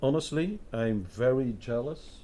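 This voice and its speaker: A man's voice: mid-range voice, expressive, unhurried, dark tone, a little hiss or hum. A man speaking as a designer on a talk panel, candid and musing, checking in with his listeners. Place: event venue in front of an audience.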